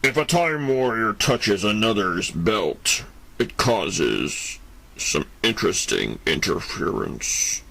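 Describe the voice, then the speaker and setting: A man playing a smug, commanding dark rider villain in an amateur audio drama, taunting in a rough, gravelly voice. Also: on the low side, animated, slow, heavily acted, rough recording.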